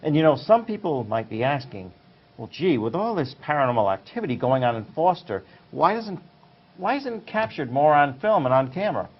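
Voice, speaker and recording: A man from Rhode Island hosting an interview, relaxed and agreeable as he prompts his guest. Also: fairly high pitch, brisk, slightly rough. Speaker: talk show host. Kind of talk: casual interview talk. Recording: muffled.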